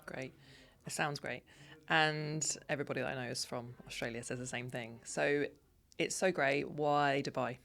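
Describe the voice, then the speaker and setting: A woman's voice: rumbling low, fairly even intonation, moderate pace, vocal fry, a bit bright. A woman in her thirties hosting a podcast interview, encouraging her guest with frequent affirmations. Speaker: podcast host.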